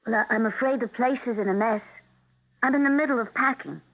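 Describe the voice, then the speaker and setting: An actress playing a sad but polite widow in a 1970s radio mystery. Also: female voice, a little high, quick pace. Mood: weary, polite. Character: Maud, sad, polite widow keeping her composure. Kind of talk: 1970s radio drama acting. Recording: tinny narrowband vintage radio recording.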